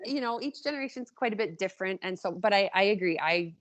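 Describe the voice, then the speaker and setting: A woman's voice: medium pitch, animated, fast, a bit bright. A woman, around her thirties, chatting casually and animatedly as an author being interviewed on a podcast, with a self-deprecating manner. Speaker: podcast guest, indie author.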